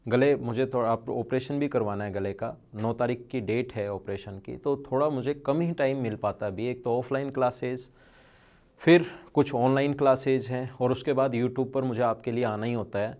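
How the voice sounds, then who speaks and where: A man's voice: medium pitch, normal speed, darkish tone. A male maths teacher with a strained throat, speaking earnestly and directly to his students in an online video lesson.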